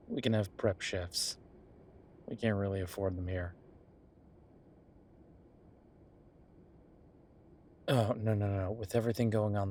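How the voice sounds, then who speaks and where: A man playing an easygoing, devoted boyfriend who is a small-town chef, talking casually and haltingly in an intimate, reassuring roleplay audio. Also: lowish voice, natural voice. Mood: casual, wry. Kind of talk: intimate conversational roleplay acting.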